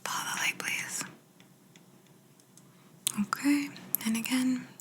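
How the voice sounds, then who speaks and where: Woman playing a slow, whispering alien examiner. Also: sits high, steady tone. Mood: soothing, clinical. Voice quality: soft, breathy whisper. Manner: slow, soothing, politely clinical with playful condescension. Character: calm, teasing female alien examiner abducting a human. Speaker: ASMR roleplay performer. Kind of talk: whispered ASMR personal-attention roleplay.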